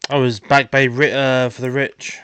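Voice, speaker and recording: A man's voice: medium pitch, little pitch movement, moderate pace, fairly bright tone, clean tone. A man giving casual playthrough commentary, thinking aloud as he plays. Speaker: gaming streamer.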